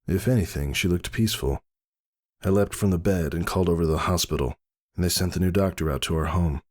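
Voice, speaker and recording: A man narrating a first-person story dramatically, in a brooding, measured and theatrical delivery. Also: low voice. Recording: music behind the voice. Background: music.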